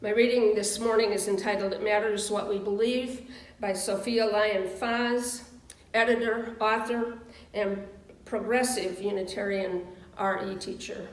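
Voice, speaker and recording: A woman reading scripted words in a measured way at a church service. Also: medium pitch. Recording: echoey room, distant microphone.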